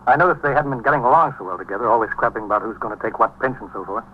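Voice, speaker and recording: An actor playing a plain-spoken, dutiful police sergeant in a terse, matter-of-fact 1950s radio drama style. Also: male voice, medium pitch, rapid-fire, close to an everyday voice. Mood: reporting, deferential. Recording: dull, noisy 1950s radio recording.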